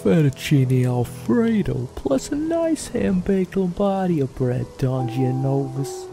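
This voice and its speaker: A man acting as a fawning servant in a comic dub. His voice is fairly high and swoops up and down in a slow, drawn-out way, with music and rain behind. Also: big pitch swings, creaky, full character voice. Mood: servile. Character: deferential male servant waiting on a mob boss.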